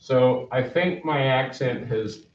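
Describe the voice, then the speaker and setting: A man's voice: medium pitch, slow. A middle-aged man from Canada, a math teacher chatting casually and rambling to his class in a classroom, recorded by a camera across the room. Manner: rambling, self-deprecating, chatty. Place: classroom with whiteboard. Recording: roomy, dull classroom recording.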